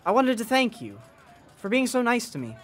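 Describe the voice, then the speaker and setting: A woman's voice: on the high side, sing-song, normal speed, faint music behind. A woman playing an earnest, polite young bellboy in a 1920s-style radio drama, speaking in a hesitant, eager, deferential way. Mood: eager, shy.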